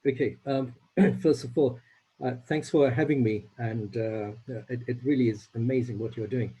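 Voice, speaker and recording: Dark, husky man from Uganda. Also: mid-range voice, steady tone, muffled recording. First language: Swahili. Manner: halting, repeats words while searching. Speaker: charity trustee and funder. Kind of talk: informal remarks on a video call meeting. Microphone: video call.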